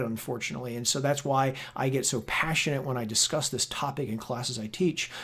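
A man giving an informal instructional lecture as a fitness educator, emphatic and conversational, repeating words for stress. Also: medium pitch. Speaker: personal trainer and fitness educator.

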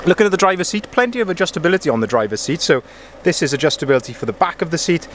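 A sales manager walking around a van outdoors and talking it through, chatty, often repeating himself and saying "as I say", recorded on a handheld camera. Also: male voice, fairly high pitch, very animated, racing, a little hiss or hum. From Wales. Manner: chatty, filler-heavy, repeats "as I say". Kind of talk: walkaround vehicle review. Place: outdoor van yard, then inside a van cab. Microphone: handheld camera held by the speaker.

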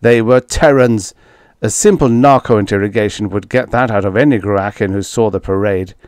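A man's voice: lowish voice, moderate pace, a little mellow, clear, resonant tone, no room sound, clean studio sound, natural voice. A man playing a tough, sarcastic diplomat in an audiobook, pressing and snapping with blunt accusations. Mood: angry accusation. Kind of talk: audiobook character dialogue.

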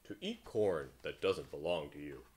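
A man voicing an earnest, polite frog who thinks himself a prince, speaking plainly and deliberately in a storybook tale. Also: a bass voice, animated, darkish tone, slight background noise, pitched below the performer's own voice. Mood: calm, earnest. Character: earnest, polite young male frog, self-styled prince.

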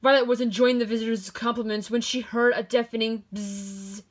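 A woman narrating a children's picture book aloud in an expressive storytelling manner. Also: a little high, moderate pace.

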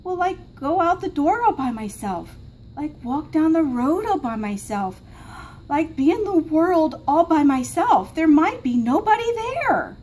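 A woman's voice: high-pitched, sing-song, unhurried, darkish tone, pitched above the performer's own voice. A woman voicing a small young female bunny in a storybook, curious and anxious, asking things in an eager, plaintive way. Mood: plaintive, worried. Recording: noisy classroom recording.

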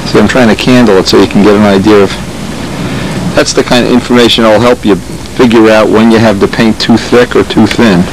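A man's voice: lowish voice, steady tone, a little fast, background noise. An older man explaining paint thinning step by step while spraying outdoors in a snowy yard. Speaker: model airplane builder. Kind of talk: casual how-to demonstration.

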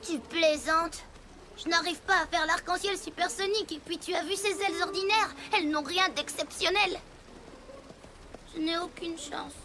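A woman voicing an earnest, excitable young female pony in a French cartoon dub, expressive and emphatic. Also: very high voice, normal speed, slight background noise. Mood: despondent, anxious. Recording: music behind the voice. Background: music.

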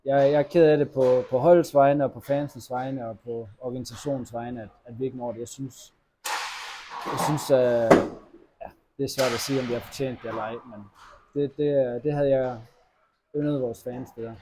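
Halting young man after a hockey loss. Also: medium pitch, steady tone, very noisy. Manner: halting, many fillers and restarts. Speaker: ice hockey player. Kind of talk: post-match interview. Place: arena press backdrop, indoors.

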